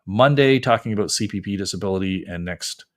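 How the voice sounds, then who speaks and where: A man's voice: lowish voice, fairly quick. A man hosting a live call-in show as a lawyer, giving advice in a casual, conversational way. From Canada. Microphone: close mic, wearing headphones.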